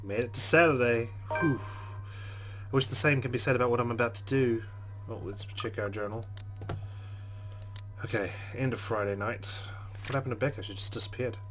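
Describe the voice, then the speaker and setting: Role: let's play gamer. Pitch: mid. Style: reads game narration aloud with casual commentary. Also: male voice, fairly even intonation, normal speed, over music. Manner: casual, joking asides.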